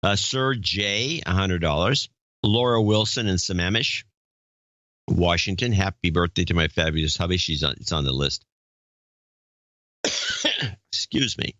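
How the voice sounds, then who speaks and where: Low-voiced man with a dull, lo-fi sound. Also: animated, unhurried, pauses often, fairly bright tone, no echo. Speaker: podcast co-host. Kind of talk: casual chat, reading donor names.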